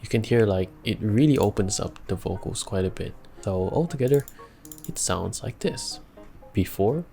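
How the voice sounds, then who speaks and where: A young man giving a casual music production tutorial from a home studio, chatty and relaxed, laughing between steps and using plenty of fillers. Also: fairly low pitch, moderate pace, gravelly. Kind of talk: casual screen-recorded tutorial.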